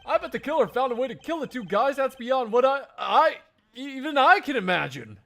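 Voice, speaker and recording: Shouty earnest game detective, indignant, voice pushed up high and swinging around. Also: male voice, big pitch swings, fairly quick, vocal fry, soft background music, full character voice. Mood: defensive, insistent. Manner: shouty, indignant, ends phrases with "pal". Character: loud, earnest, blustering rookie male police detective. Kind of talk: video game fandub acting.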